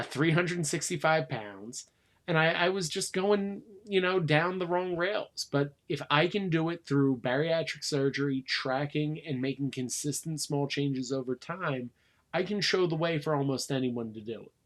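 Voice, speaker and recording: A man's voice: on the high side, moderate pace. American man, candid and conversational. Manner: candid, colloquial, explanatory. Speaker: podcast guest, coach. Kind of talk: casual conversational storytelling.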